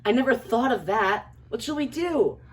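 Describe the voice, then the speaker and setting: A woman's voice: fairly high pitch, expressive, moderate pace, lightly acted. A woman playing a kindly, wondering very old man in a storybook reading. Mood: worried, eager. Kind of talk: reader's theater character acting. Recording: distant from the mic.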